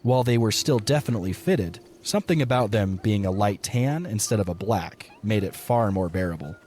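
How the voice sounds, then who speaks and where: A man's voice: fairly low pitch, lively intonation, fairly quick, a little mellow, a little hiss or hum. A man reading scripted third-person story narration as the narrator of an audio drama.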